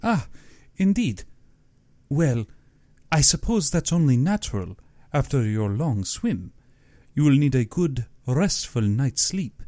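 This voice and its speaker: A man's voice: medium pitch, big pitch swings, slow, long pauses, warm tone, no room sound, pitched above the performer's own voice. A man voicing a genteel, menacing general in an audiobook, with pompous and exaggeratedly courteous speech. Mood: courteous, eager. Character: genteel, menacing aristocratic general who hunts men.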